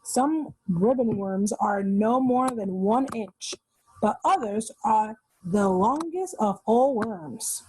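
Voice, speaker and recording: A woman's voice: a little high, animated, slow pace, dark tone, husky, low-quality recording. A woman reading a children's nonfiction book aloud, explaining as she goes and pointing out the pictures. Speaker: read-aloud host for children.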